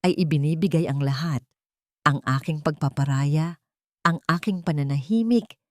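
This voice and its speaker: A woman with a low voice narrates a scripted drama in the first person. Her telling is solemn and full of feeling. The sound is close and dry, with no room on it. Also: a little mellow, no room sound. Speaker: audio story narrator.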